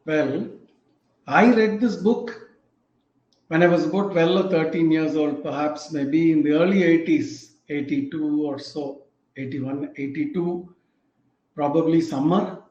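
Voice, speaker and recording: A man in his fifties with an Indian English accent, telling stories about a book he loves in a reflective, unhurried way. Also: fairly high pitch, steady tone, a little mellow. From Tamil Nadu, India. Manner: reflective, unhurried storytelling with fillers. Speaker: host. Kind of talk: casual book talk. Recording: echoey, dull lo-fi room sound.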